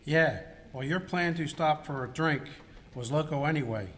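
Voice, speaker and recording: A man plays a dry, grumbling cowboy outlaw in a comic radio skit. The voice is fairly high, and the live amateur recording has hall reverb and faint noise. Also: moderate pace, a bit bright, lightly acted. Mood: blaming. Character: grumbling wisecracking male cowboy outlaw Bob.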